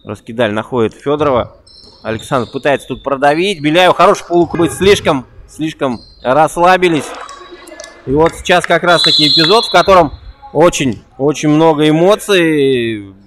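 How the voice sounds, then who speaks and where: A young man giving quick, excitable play-by-play commentary on an amateur basketball game, repeating a catchphrase. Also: fairly high pitch, a little hiss or hum. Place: indoor basketball gym.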